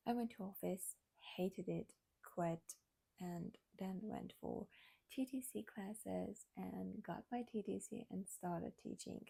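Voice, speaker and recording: Woman, 20s, Armenian native from Iran; mellow, gravelly, hushed vlog talk. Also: medium pitch, steady tone, slow. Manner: soft, hesitant, many fillers and restarts, often near a whisper. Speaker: vlogger. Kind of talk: candid personal vlog.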